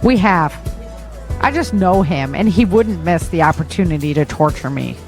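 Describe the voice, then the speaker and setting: Spirited female recruit, lively pitch swings. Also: moderate pace, soft background music. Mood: wry. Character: young female army recruit, spirited, put-upon. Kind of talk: audio drama acting.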